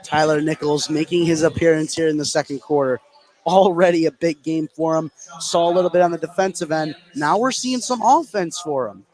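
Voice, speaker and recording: A man's voice: a little high, a little fast, a little mellow, poor audio. A man giving conversational color commentary and analysis during a live basketball broadcast. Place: indoor high school basketball game.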